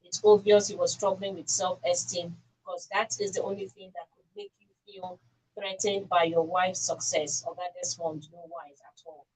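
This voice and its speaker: A woman's voice: lowish voice, lively intonation, moderate pace, crowd noise, rough recording. Nigerian woman hosting a radio call-in show. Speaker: radio host.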